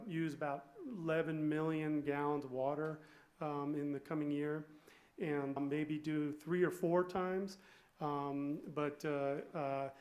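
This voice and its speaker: Male brewer, fairly high pitch, casual explanatory panel talk with a hesitant, stop-start delivery. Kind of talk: informal presentation to an audience.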